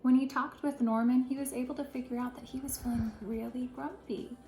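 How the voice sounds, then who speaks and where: A woman, a first grade teacher, reads and talks to young children in an expressive, deliberate storytelling way. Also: high voice. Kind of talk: picture-book read-aloud for children. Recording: roomy echo, clear background noise.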